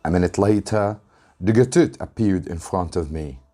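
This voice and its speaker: A man from Egypt, an English teacher, reading a story aloud with deliberate, dramatic emphasis. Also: sits low, warm tone, faint music behind.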